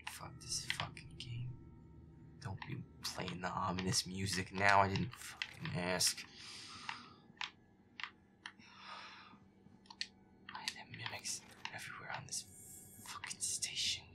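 A man's voice: low-pitched, heavily acted. Man playing a feisty, whispery, grumbling boyfriend. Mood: sarcastic grumbling. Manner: exasperated, sweary, sarcastic. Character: feisty werewolf boyfriend grumbling through a scary game. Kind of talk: gameplay roleplay, muttered reactions.

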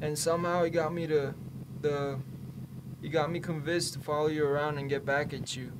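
A man plays an apologetic young man in a radio drama, his voice a little high. He talks haltingly and earnestly over clear background noise. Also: fairly even intonation, close to an everyday voice. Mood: guilty, apologetic. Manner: hesitant, halting. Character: hesitant, apologetic young man, reluctant stalker and book lover. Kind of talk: student radio play dialogue.

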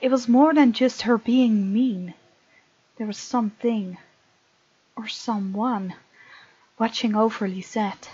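A woman's voice: fairly high pitch, takes it slowly, pauses often, mellow, husky, dry recording, dull audio. A woman doing a let's play, reading a visual novel aloud with chatty, giggly asides.

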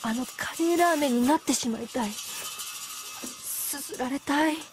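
Anime high school girl, very high voice, swooping delivery, flustered, lovestruck, overdramatic. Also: expressive, normal speed, music in the background. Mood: feverish longing. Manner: overexcited, dramatic, rambling in self-reproach. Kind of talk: anime comedy inner monologue.

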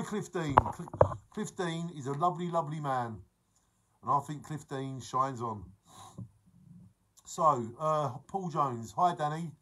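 A man in his forties livestreaming to viewers, talking fast and excitably and breaking into song, filmed on a phone held close in a room. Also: fairly high pitch, husky, poor audio. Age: 40s. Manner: excitable, sings snatches and repeats phrases. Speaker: vlogger. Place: indoor room beside a fish tank. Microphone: phone held close at arm's length.

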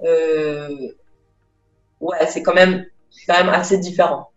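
A woman's voice: deep voice, fairly even intonation, slow pace. A young woman speaking casually as a guest over a video call, hesitant, with many fillers and restarts. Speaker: interview guest. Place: small room indoors. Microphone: laptop webcam mic on video call. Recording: roomy, dull lo-fi call audio with faint music.

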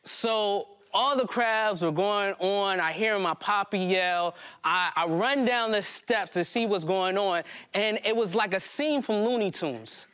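A man with a fairly high voice performs a personal monologue to a room. He talks conversationally, pausing and restarting as a storyteller would. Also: a bit bright. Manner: conversational, halting, prone to chuckling. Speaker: poet and storyteller on stage. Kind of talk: live autobiographical monologue. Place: indoor community hall stage before a small audience.

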